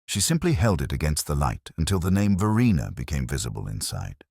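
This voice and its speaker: A man's voice: a bass voice, sing-song, a little fast, creaky, a little mellow, breathy edge, no echo, clean studio sound, full character voice. A man voicing a smug, prim village woman who relishes gossip, in an audiobook mystery. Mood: feigned innocence.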